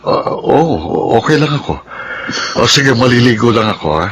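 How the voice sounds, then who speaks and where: A man's voice: mid-range voice, sing-song, moderate pace, fairly bright tone, husky, full character voice. An actor playing a wary, easily flustered middle-aged Filipino stepfather in a radio soap opera, awkward and polite. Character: flustered, wary stepfather charmed against his will.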